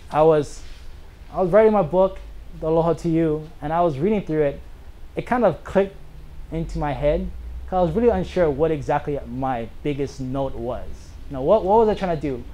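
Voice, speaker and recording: A man in his thirties from Hawaii, telling a casual, earnest personal story to a small audience in a bookstore, animated and full of "you know" fillers. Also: on the high side, normal speed. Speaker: author giving a talk.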